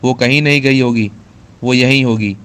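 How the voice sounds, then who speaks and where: A worried king in an animated fairy tale, voiced by a man, giving orders in a firm, commanding tone at a mid pitch. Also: moderate pace, clear, resonant tone, no room sound, lightly acted. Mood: alarmed. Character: worried king, father of a bride. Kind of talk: cartoon voice acting.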